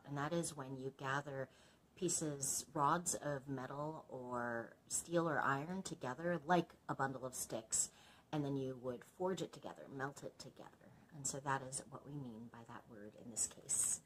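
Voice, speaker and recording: A woman's voice: low-pitched, a bit bright, slight background noise, poor audio. A woman librarian reading a children's story aloud expressively, in an instructive manner suited to young listeners. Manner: instructive, explains old words to young listeners. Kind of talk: expressive storybook narration with explanatory asides.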